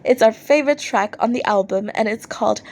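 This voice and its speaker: A woman reading fan fiction aloud as an expressive amateur audiobook narrator, acting out posts in an animated way. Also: a little high, fairly quick.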